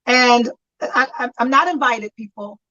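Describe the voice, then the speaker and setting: A woman's voice: a little high, measured pace, pushed and bright, lo-fi sound. A woman in her fifties co-hosting a casual chat show, telling stories with frequent laughter, calling in from a friend's house. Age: 50s. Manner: chatty storyteller, laughs often. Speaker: talk show co-host.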